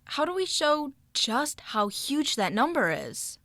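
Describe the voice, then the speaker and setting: A woman's voice: high-pitched, big pitch swings, slow pace, a bit bright, dry recording, studio quality, exaggerated voice. An actress playing an upbeat, take-charge girl who leads a club in a children's audio story.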